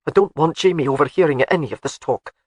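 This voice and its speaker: A woman's voice: low-pitched, quick pace, darkish tone, breathy edge, dry recording, clean studio sound. A woman reading a commanding laird. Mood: firm. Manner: firm, clipped commands. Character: commanding Scottish laird, firm and protective man.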